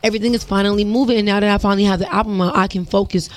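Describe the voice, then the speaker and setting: A young woman singer with a deep voice, chatting casually in an interview in a rapid, slangy, emphatic way. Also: fairly even intonation. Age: young adult. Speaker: singer guest.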